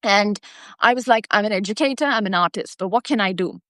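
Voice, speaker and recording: A woman's voice: medium pitch, fairly quick, pushed and bright, no echo, studio quality. A woman with an Indian accent telling her personal story to viewers as a live stream host, speaking emphatically and repeating key phrases for stress.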